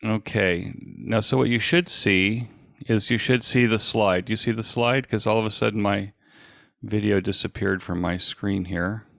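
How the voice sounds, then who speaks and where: A man in his sixties with an American accent gives an informal online presentation in a halting, conversational, self-deprecating way. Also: on the low side, gravelly, fairly bright tone, no echo, clean studio sound. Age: 60s. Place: indoors at a desk, on a video call.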